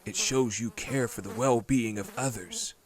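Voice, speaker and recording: A man's voice: fairly low pitch, moderate pace, pitched above the performer's own voice, close to an everyday voice. A man playing a stern but compassionate lord and grieving head of his house in a dramatic audio roleplay, speaking formally and emphatically. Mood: firm, earnest. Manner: earnest, formal, emphatic, indignant.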